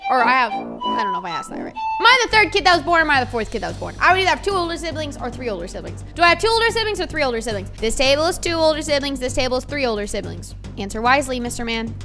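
A young woman, hosting a multiplayer game in a bossy, playful way and repeating herself. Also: sits high. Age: young adult. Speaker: game host.

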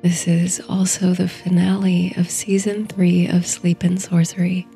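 A woman narrating a bedtime story and guided meditation in a soothing, hushed, softly breathy voice. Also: on the low side, level tone, faint music behind. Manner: soothing, hushed, unhurried delivery. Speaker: host and narrator of a sleep story series.

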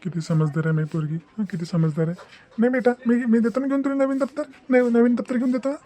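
A man's voice: extremely high pitch, steady tone, quick pace, mellow. A man voicing a loving, worried father of a poor village family in a moral-story cartoon, earnest and insistent, with a Varhadi Marathi accent. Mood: tender sorrow.

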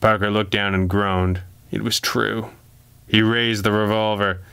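Smooth male crime-story narrator, dry sound. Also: lowish voice, level tone, unhurried, fairly bright tone, dry recording. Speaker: audiobook narrator. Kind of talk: scripted pulp crime narration.

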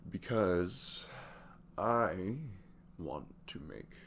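A man in his twenties playing a sweet, easygoing single dad in an intimate ASMR audio roleplay, chatting haltingly in a velvety voice. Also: animated, a bit bright, slight background noise, heavily acted. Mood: candid, slightly sheepish. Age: 20s. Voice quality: deep, gravelly velvet voice. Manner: halting, chuckling, conversational.

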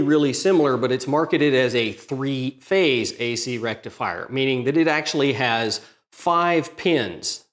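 A middle-aged man hosting a workshop channel, explaining a welder modification to the camera in a plain, methodical way, recorded in his home workshop. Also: mid-range voice. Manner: methodical, plain-spoken, technical. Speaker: DIY welding channel host. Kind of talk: explanatory tutorial talk to camera.

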